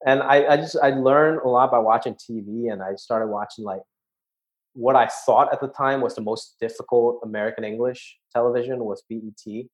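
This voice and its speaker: A man from Hong Kong settles into a relaxed, conversational story as a podcast guest. His voice sits at a middle pitch and drops low and quiet for his asides. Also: a little fast, rough recording. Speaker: guest comedian. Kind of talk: casual podcast chat, storytelling.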